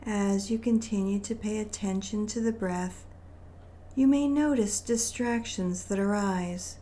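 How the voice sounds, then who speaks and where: A woman leading a guided meditation in a gentle, soothing manner, with deliberate phrasing and long pauses. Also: mid-range voice, a little hiss or hum.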